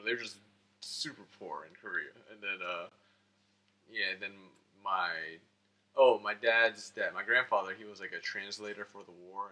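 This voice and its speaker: Interview guest: American male, lower register with a sharp edge, loose conversational rambling. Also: low-quality recording. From United States (Florida and Georgia). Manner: rambling, informal, peppered with "like".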